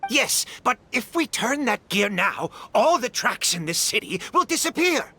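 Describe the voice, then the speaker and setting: A bright, forceful, raspy storybook townsperson for a fantasy game. The delivery is creaky, blustering and full of swings, stubborn and worried at once. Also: mid-range voice, very animated, unhurried, slight background noise, exaggerated voice. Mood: pleading, worried. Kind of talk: animated game character acting.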